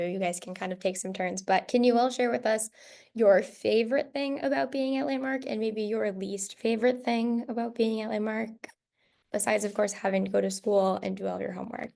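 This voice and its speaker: A woman's voice: mid-range voice, vocal fry, a little mellow. A woman moderating a school's virtual information session over a video call, casual and encouraging as she hands the floor to others.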